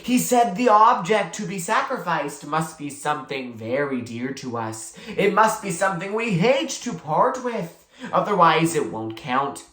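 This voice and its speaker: A reader voicing a bossy young girl playing high priestess in a storybook, chanting solemnly and commandingly. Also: big pitch swings, a little slow, fairly bright tone. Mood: dramatic proclaiming. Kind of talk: children's storybook character voice. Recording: roomy, echoey sound.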